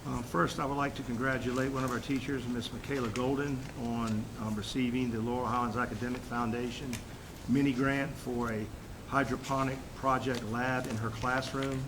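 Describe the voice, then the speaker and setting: A man with a head cold giving a formal superintendent's report at a school board meeting, halting with fillers and restarts. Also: little pitch movement. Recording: noisy room recording with rumble.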